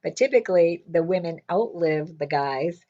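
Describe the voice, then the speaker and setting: An older woman in semi-retirement telling a casual story with a loud, blunt way of talking, acting out what people said. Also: lowish voice, normal speed, highs cut off. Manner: lively, blunt, quotes people in her stories. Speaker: interviewee.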